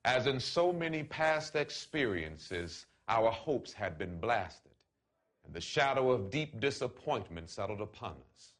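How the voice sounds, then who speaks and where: A man reads a written letter aloud in a solemn, oratorical way, with deliberate phrasing and emphatic pauses. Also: medium pitch, expressive, fairly bright tone. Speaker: reader of a letter.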